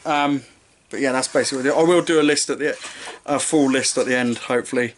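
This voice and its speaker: A man's voice: medium pitch, steady tone, quick pace, slight background noise. A young man in his twenties walking through his fishing tackle to camera in a small room, casual and rambling, often correcting himself mid-sentence. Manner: rambling, self-correcting, offhand jokes about his knots and lighting. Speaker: fishing vlogger. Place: small indoor room with striped curtain. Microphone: camera mic at close range.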